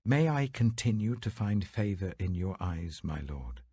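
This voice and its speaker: A devoted young widow played by a British man in a scripture audiobook, speaking with gentle reverence and earnest pleading. The voice is very husky, with wide swings in pitch and a dry, close sound. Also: sing-song, a little slow, dry recording, exaggerated voice. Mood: humble gratitude.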